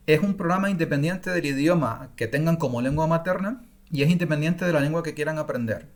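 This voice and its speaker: Middle-aged man pausing through a masterclass. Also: a little high. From Spain. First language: Spanish. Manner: thoughtful and unscripted, pausing with fillers and restarts. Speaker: language-learning course creator. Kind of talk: recorded online masterclass.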